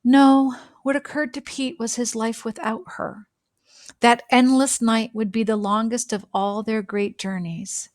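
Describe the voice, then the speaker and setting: A woman reading a children's picture book aloud with expressive, dramatic phrasing and deliberate pauses. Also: a little high, dry recording, studio quality. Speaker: storybook reader.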